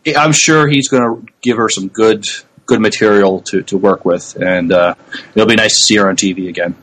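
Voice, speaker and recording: A man co-hosting a podcast in casual chat, with a hesitant, halting way of talking. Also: lowish voice, fairly bright tone, rough recording. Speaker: podcast co-host.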